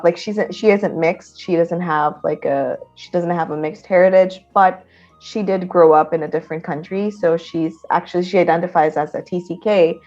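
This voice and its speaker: A woman's voice: deep voice, a little mellow, highs cut off. A woman in her twenties hosting a podcast from her room, talking casually and conversationally with many fillers. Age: 20s. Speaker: podcast host. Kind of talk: casual interview. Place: her own room, at home.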